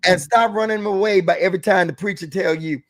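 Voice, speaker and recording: A man's voice: high voice, fairly even intonation, fast talker. A man as a guest author giving emphatic, sermon-like advice, calling out to the audience with rhetorical questions.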